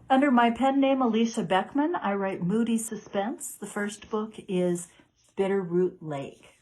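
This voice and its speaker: A woman's voice: fairly low pitch, darkish tone, breathy edge, low-quality recording. A woman speaking as a mystery author, giving a prepared, direct message to her readers. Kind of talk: friendly scripted video message to readers.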